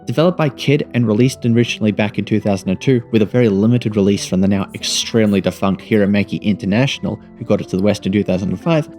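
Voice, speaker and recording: A man's voice: fairly low pitch, quick pace, few pauses, darkish tone, faint music behind. A man reading a scripted video game review as narration.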